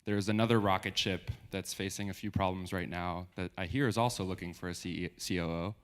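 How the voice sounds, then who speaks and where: A man's voice: low voice, steady tone, a little fast, clear, resonant tone. A young male student introducing himself and asking a wry, pointed question of a guest speaker. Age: young adult.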